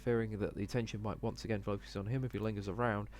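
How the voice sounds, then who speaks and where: A man's voice: lowish voice, level tone, fast talker, darkish tone. A man reading a visual novel aloud as a let's player, stumbling a little over the text. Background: game music.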